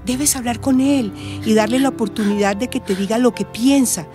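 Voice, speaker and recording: Actress as mellow, high-voiced compassionate counselor. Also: female voice, moderate pace, soft background music, close to an everyday voice. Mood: gentle, serious. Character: calm, compassionate woman counseling a troubled girl. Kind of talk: radio drama acting.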